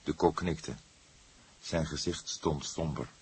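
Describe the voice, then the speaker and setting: A man narrating a crime story as an audiobook, reading in a measured, literary manner. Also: very deep voice, husky.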